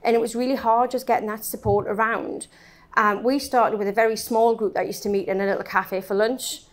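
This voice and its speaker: A woman's voice: fairly high pitch, steady tone, fairly quick. A woman who runs a support group, telling its story plainly on a panel with a handheld mic in a conference room. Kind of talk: panel discussion talk.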